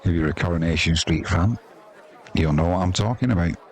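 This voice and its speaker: A man's voice: low voice, brisk, a little hiss or hum. A man with an English accent giving chatty, wry, self-deprecating amateur live commentary on cricket. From England.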